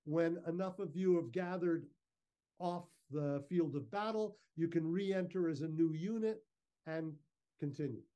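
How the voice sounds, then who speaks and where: A man giving an informal, measured, explanatory slide talk over a video call. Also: on the high side, dark tone. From Canada. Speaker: guest speaker, historical reenactor. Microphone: video call microphone.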